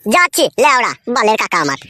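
A woman's voice: on the high side, very animated, quick pace, pushed and bright, dry recording. A woman voicing a brash young man playing secret lover in a cartoon comedy, boastful and crude, with a rural West Bengal accent. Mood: cheeky.

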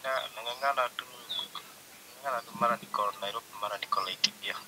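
A man's voice: mid-range voice, very fast, very noisy. A young man with a Kenyan accent, chatting casually as a guest over a phone call. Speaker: upcoming musician, phone guest.

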